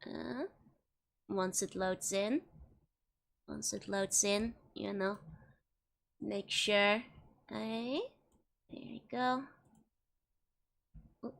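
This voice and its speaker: A woman's voice: medium pitch, gravelly. A woman with a Dutch accent chatting casually while streaming a game, giggling often between remarks. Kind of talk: casual live gameplay chat.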